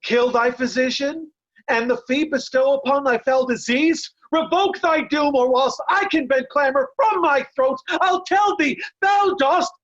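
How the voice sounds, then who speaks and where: A woman's voice: high-pitched, measured pace, lo-fi sound. A woman playing a loyal, blunt, defiant nobleman in earnest, declamatory Shakespearean verse. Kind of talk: Shakespeare reader's theatre acting.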